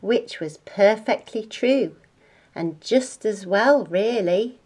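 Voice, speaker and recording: A woman reading a children's bedtime storybook aloud in a deliberate, expressive way. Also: mid-range voice.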